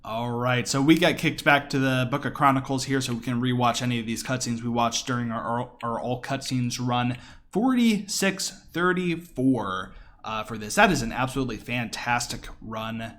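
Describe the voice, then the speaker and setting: A man's voice: medium pitch, animated, normal speed, fairly bright tone. A man giving casual, explanatory live commentary on a video game as its speedrunner. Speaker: speedrunner commentating own run.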